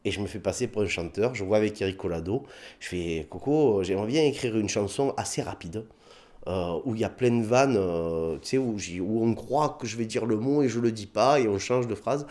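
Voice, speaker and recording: Man, southern French accent, low voice, chatty storyteller, comedian guest. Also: brisk. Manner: animated anecdotes with put-on dialogue, many fillers. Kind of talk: casual storytelling interview.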